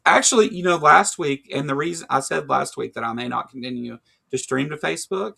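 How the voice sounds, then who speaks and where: A man with a Kentucky accent, his voice carrying a gravelly rasp. He rambles through a casual livestream chat, padding his talk with fillers and dry jokes. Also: a little high, a little fast, bright, forceful tone. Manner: rambling, filler-heavy, joking. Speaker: podcast co-host.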